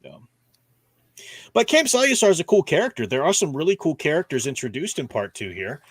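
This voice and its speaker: A man's voice: fairly high pitch, wildly swooping pitch, fairly quick, husky, dry recording, clean studio sound. A man hosting a casual discussion stream, explaining at length and often dropping in "you know" as he goes. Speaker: host of a book discussion stream. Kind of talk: casual livestream discussion of comics and radio dramas.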